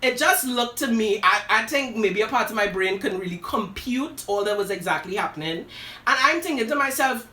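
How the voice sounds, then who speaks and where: A woman's voice: on the high side, expressive, moderate pace, bright, forceful tone. Sassy woman storyteller, roomy sound. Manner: dramatic, sassy asides, addresses listeners as "girl" and "darling". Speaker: live show host telling a personal story. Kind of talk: animated personal storytelling. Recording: roomy echo, voice from a distance.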